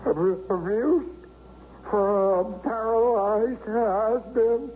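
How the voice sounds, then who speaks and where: A man's voice: high voice, drawn-out words, a little hiss or hum. An actor playing a bitter, sardonic paralyzed artist who narrates his own story in a 1970s radio drama. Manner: sardonic, self-pitying. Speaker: narrator and lead. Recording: dull lo-fi old radio broadcast with loud music.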